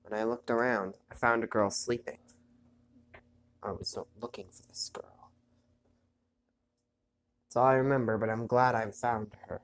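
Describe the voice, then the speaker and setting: A man narrates his play of a mobile game in an easygoing, chatty way, his voice thick with a stuffy nose. When story text comes up, he reads it aloud softly and lowers his voice. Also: steady tone, measured pace, pauses often. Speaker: let's play host. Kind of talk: casual gameplay commentary, reading on-screen text aloud.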